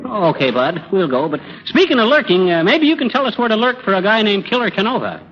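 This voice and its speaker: An actor playing a cocky, folksy husband in a 1930s radio comedy, slangy and brash with stammering bluster. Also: male voice, high voice, big pitch swings, quick pace. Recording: muffled 1930s radio recording.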